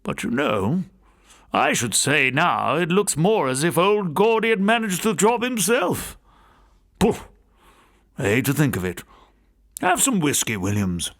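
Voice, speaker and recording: A man voicing a talkative, genteel English gentleman scholar who rambles conversationally through old local gossip in an audiobook. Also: on the high side, big pitch swings, measured pace, long pauses, voice pushed up, full character voice. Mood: musing, pitying.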